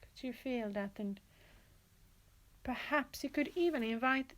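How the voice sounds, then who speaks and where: A woman teaching yoga nidra, guiding a relaxation in a gentle, soothing manner with pauses and repeated, inviting phrases. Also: high voice. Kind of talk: guided relaxation meditation.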